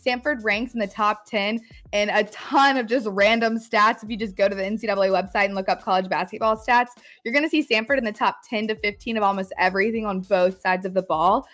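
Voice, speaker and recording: A woman guest on a sports podcast, talking casually with a hoarse, scratchy voice and an eager manner that breaks into laughs. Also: mid-range voice, steady tone, fairly quick, fairly bright tone. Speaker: guest analyst.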